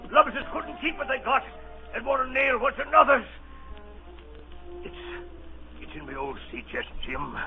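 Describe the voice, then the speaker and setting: A rough, frightened old sea captain in a vintage radio play. His raised voice crackles with gravel as he pleads and blusters like a sailor. The recording is dull, with music present. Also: high voice. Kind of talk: 1930s radio acting.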